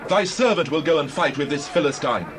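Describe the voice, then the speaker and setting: A man's voice: on the high side, lively intonation, a little fast, crowd noise, noisy background, natural voice. A man playing a bold, devout young shepherd lad, declaiming earnestly in a dramatized scripture reading. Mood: confident, earnest.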